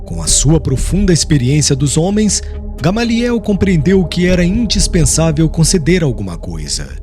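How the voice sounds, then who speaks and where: A man's voice: a little high, sing-song, normal speed. A man narrating an audiobook in a formal, literary and dramatic scripted style. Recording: soft music underneath.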